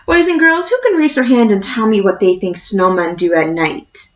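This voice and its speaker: A woman reading a picture book aloud like a teacher, expressive and encouraging, addressing young children. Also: medium pitch, normal speed, lo-fi sound. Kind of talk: storybook read-aloud with questions to a class.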